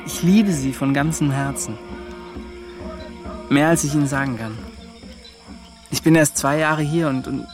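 An actor playing a proud yet self-doubting young adventurer in a German radio play, with a halting, emphatic delivery. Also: male voice, on the high side, natural voice. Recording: music behind the voice.

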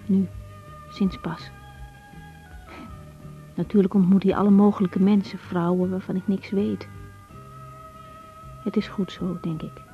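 A woman's voice: medium pitch, close to an everyday voice. An actress playing a troubled, conscientious young woman doctor in a Dutch radio drama, speaking hesitantly. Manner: hesitant, searching for words. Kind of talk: 1980s Dutch radio drama acting with spoken diary monologue. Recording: dull 1980s radio recording.

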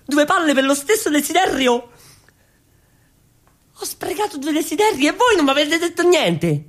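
A man's voice: very high voice, very animated, moderate pace, a bit bright, exaggerated voice. A man playing a hapless, earnest, clownish revolutionary in theatrical comic radio storytelling, exclamatory and repetitive. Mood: dismayed, pleading. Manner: exclamatory, repetitive, pleading with the listeners. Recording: music under the voice.

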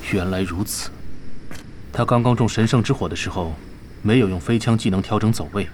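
An actor playing a composed, precise vice-captain of a gaming team in an audio drama, speaking tersely. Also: male voice, lowish voice, brisk, darkish tone, slight background noise, close to an everyday voice. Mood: analytical.

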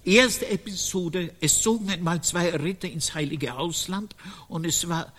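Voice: medium pitch, a bit bright, breathy edge. An actor playing a solemn, pedantic monkish scribe who formally recites a chronicle in a radio play. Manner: deliberate, announcing, naive solemnity.

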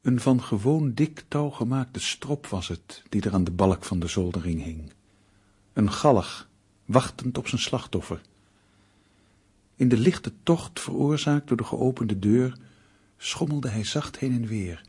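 An ominous radio thriller narrator. His voice is very husky and mid-pitched, delivering scripted lines deliberately with dramatic pauses. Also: expressive, darkish tone, no room sound. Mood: grave, ominous.

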